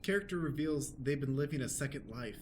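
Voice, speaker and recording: A man's voice: mid-range voice, expressive, a little fast, a little hiss or hum. A man narrating stage directions for an improvised radio play in a matter-of-fact announcing manner.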